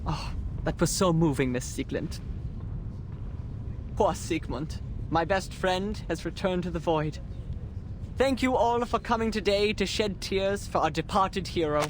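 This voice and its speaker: Higher-voiced, grandiloquent male eulogist. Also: measured pace, background noise. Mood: mournful, oratorical. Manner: oratorical, grandiloquent. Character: solemn eulogist, an idealistic man mourning his friend. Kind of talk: theatrical memorial speech.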